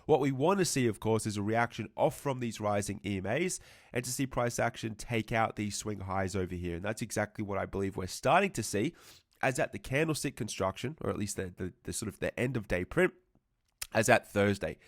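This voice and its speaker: A man's voice: lowish voice, expressive, fairly quick, dry recording, studio quality. Congested man explaining market charts. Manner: explanatory, repeats and rephrases himself, says "right" often. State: congested, a little fluey. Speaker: trading educator. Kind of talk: market analysis commentary.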